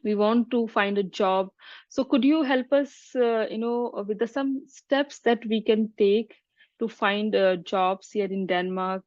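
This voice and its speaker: A woman's voice: medium pitch, a little slow, a little mellow, no echo, highs cut off. A woman from India, hosting an interview in a friendly manner with some hesitant fillers. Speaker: YouTube host, interviewer.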